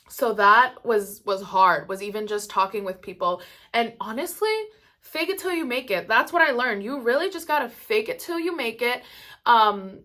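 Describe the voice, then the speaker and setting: A woman's voice: on the high side, sharp tone. A woman in her twenties whose first language is Spanish, telling personal stories casually to viewers in a candid, chatty way full of "like" and "you know". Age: 20s. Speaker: vlogger.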